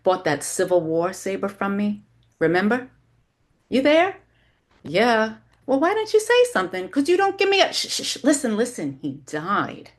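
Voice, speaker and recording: A woman's voice: medium pitch, sing-song, normal speed, pitched above the performer's own voice, lightly acted. Woman acting out a brash, self-absorbed aging mother. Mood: gossipy, insistent. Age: older. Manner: brash, monologuing, interrupting.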